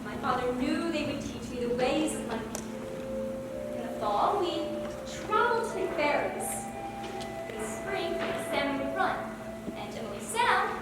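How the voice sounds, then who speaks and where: Woman acting a high-voiced Native girl, far off in hall. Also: measured pace. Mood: wistful. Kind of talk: stage play monologue and dialogue. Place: theatre stage. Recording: echoey hall from far off, music behind.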